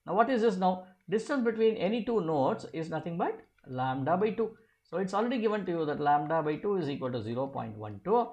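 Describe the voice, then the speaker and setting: Physics teacher, step-by-step problem walkthrough, didactic, self-answered questions, repeated key phrases, medium pitch. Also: expressive, fairly quick, darkish tone, highs cut off.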